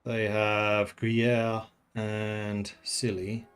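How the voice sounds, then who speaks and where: A man's voice: on the low side, monotone, very slow, clear, resonant tone, soft background music. A man from the Netherlands who grew up in England, streaming a strategy game and thinking aloud in casual commentary, chatting with viewers and laughing often.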